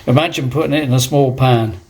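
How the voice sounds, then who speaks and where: A man's voice: mid-range voice, fairly quick, darkish tone. Chatty man cooking. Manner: chatty, jokey, narrates each step. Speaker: guest cook. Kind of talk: cooking demonstration chat.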